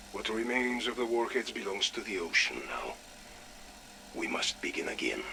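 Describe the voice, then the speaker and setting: A man playing a stern, calculating military commander dictating a grave, measured audio log for a video game. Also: on the low side, gravelly, pushed and bright, a little hiss or hum, heavily acted, rough recording.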